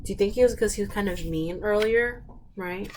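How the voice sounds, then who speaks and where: A woman's voice: mid-range voice, brisk, slight background noise. A woman hosting storytime, reading picture books aloud in simple, emphatic phrases and putting questions to young listeners. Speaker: storytime host reading to children.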